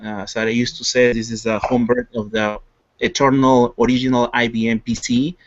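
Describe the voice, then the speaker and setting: A man's voice: mid-range voice, flat delivery, normal speed, dry recording. Peruvian-accented man, dry lo-fi call audio. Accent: Peruvian Spanish. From Peru. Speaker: collector and guest panelist. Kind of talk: casual chat, self-introduction. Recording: dull lo-fi video-call audio.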